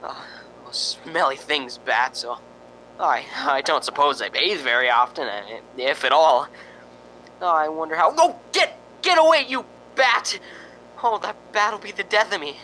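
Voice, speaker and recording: A man's voice: a little high, measured pace, bright, forceful tone, a little hiss or hum, poor audio. A man playing a nervous, lonely radio reporter in a spooky radio drama, stammering and rambling with sighing ohs. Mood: frightened. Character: jittery, lonely radio reporter broadcasting from a haunted house.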